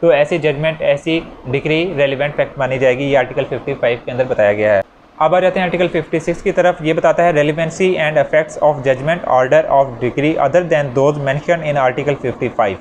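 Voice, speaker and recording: A man's voice: fairly high pitch, normal speed. A man with a Pakistani accent giving an explanatory law lecture, restating points in simpler words in a didactic way. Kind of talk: explanatory lecture with worked examples. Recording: dull lo-fi audio, faint background noise.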